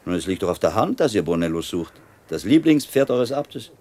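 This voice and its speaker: A man's voice: sits low, very animated, a little fast, darkish tone. An actor playing a shrewd, learned monk in a radio drama, lecturing his pupil with wry, teasing self-assurance. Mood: confident, courteous. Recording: clear ambient noise behind voice.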